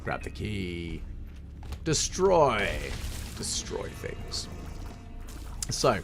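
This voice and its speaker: A British man with a deep, resonant and slightly hoarse voice, giving wry, self-deprecating commentary while playing a game. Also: sing-song, extremely slow. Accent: British English. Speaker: gameplay commentator. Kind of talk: casual let's-play commentary. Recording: game music playing under the voice. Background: game music.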